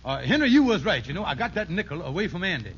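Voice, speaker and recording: A man's voice: high-pitched, sing-song, quick pace. An actor playing a scheming, pompous conman who uses big words and mangled grammar in an old radio sitcom. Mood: excited. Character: scheming, fast-talking male conman, pompous fake fitness instructor. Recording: muffled, noisy old radio broadcast.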